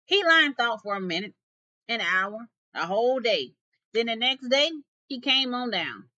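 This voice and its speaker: A woman reading a folktale aloud as an expressive storyteller. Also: a little high, measured pace, no room sound, highs cut off.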